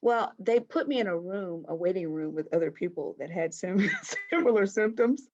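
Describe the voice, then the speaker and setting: A middle-aged woman speaking softly as a guest in a casual interview over a video call. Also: on the low side, normal speed, highs cut off. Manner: soft-spoken here, though loud by nature. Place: small room indoors. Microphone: webcam on a video call.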